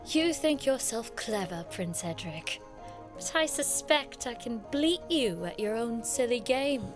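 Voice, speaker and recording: A young highborn woman studying magic in an audio drama. Her voice is very high and her manner is proud, witty and formal. Music plays behind her. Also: animated, unhurried. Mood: teasing. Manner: wry, haughty, formal speech.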